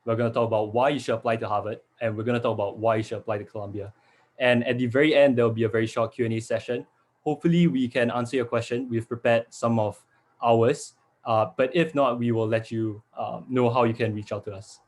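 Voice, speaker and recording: A man's voice: mid-range voice, steady tone, quick pace. A young man from Singapore, a university student, presenting in a friendly, organized way on a video call. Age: young adult. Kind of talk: webinar presentation.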